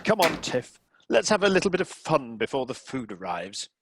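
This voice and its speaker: Man acting a camp, lively snobbish pianist. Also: medium pitch, normal speed, background noise. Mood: mischievous. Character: camp, wounded, snobbish male classical pianist. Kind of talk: comedy radio play acting.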